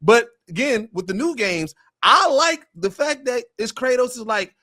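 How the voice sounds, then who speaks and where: High-voiced man explaining casually. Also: big pitch swings, normal speed, pushed and bright. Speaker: podcast panelist. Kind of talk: casual pop culture discussion.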